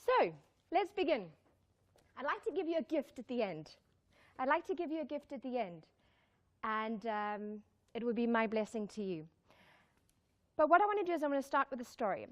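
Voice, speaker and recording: A woman's voice: on the high side, very animated, normal speed, long pauses. A woman giving a live motivational talk, speaking emphatically, repeating key lines and putting questions to her listeners. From born in Zimbabwe, raised in South Africa. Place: live talk before an audience.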